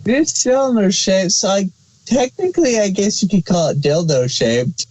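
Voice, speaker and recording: A man's voice: high voice, animated, normal speed, darkish tone, dry recording, low-quality recording. A man chatting casually as a podcast co-host, joking in a crude, irreverent way. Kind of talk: casual chat.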